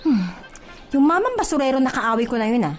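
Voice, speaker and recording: A very high, girlish young housemaid, feisty and dramatic, with a swooping singsong delivery. She is a radio comedy character full of exclamations, with music clearly playing behind her. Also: sing-song, a little fast. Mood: curious, embarrassed.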